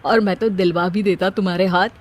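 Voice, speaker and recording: An actor playing a wisecracking, exasperated young husband in a family comedy story, with a teasing, sarcastic manner. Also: male voice, high voice, fairly even intonation, brisk.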